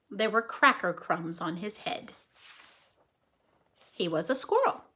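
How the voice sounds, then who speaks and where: A woman reading a children's story aloud as a storyteller, with dramatic, deliberate phrasing. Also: fairly high pitch, fairly bright tone. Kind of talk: expressive children's story narration.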